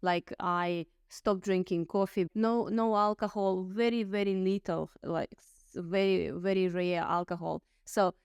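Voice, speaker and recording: A woman co-host with a very smooth, clear voice. She talks earnestly and informally, with small fillers. The recording is dry and close. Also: on the low side, fairly even intonation, measured pace, darkish tone, dry recording, lo-fi sound. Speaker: podcast co-host. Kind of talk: casual interview talk.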